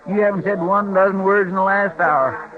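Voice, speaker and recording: Guy doing a chatty drawling sidekick, highish voice, tinny old radio w/ a busy crowd behind. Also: fairly even intonation, moderate pace, lightly acted. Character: talkative, folksy male frontier sidekick. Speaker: marshal's helper. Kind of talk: 1950s radio drama acting.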